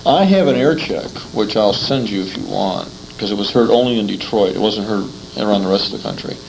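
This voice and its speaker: An American man conducting a conversational interview, haltingly recounting details and repeating words as he goes. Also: lowish voice, vocal fry, a little mellow, slight background noise, rough recording.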